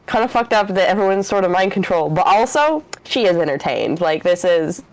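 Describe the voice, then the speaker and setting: A woman's voice: fairly low pitch, fairly quick, no echo, poor audio. Laid-back young woman player. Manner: relaxed, filler-heavy, shrugging. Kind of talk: casual chat.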